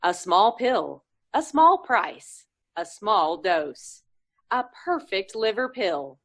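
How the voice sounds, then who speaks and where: A woman playing a perky 1940s radio pitchwoman, reciting a sponsor's advertisement in an emphatic, sing-song manner. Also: big pitch swings, slow pace, a bit bright, exaggerated voice. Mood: perky selling. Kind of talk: 1940s radio commercial acting.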